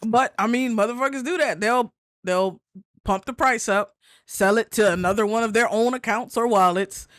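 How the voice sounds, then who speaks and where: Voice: medium pitch, normal speed, fairly bright tone, no echo. Casual sweary podcast voice, close dry sound, no room on it. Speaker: podcast panelist. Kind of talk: casual profane banter.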